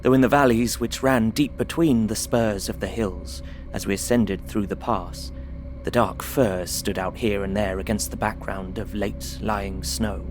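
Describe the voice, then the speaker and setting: An actor playing an observant young gentleman traveller, narrating his journal in measured, literary audio drama style. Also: lowish voice, steady tone, over music, lightly acted. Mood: absorbed, wondering. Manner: measured, descriptive storytelling.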